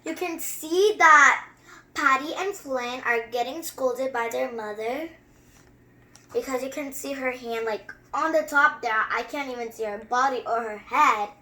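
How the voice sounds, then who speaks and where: A young-sounding story reader who reads a picture book aloud and chats through it with expressive, wandering asides. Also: sits high, a little slow. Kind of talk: children's story time read-aloud with excited running commentary.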